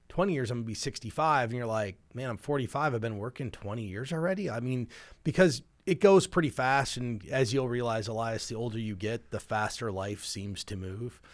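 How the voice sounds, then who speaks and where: Male money-show host, middle-aged, average pitch, explanatory and conversational, very dry room. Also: no room sound. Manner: explanatory, illustrates with hypothetical first-person quotes. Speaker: financial planner and show host. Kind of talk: conversational personal finance advice show.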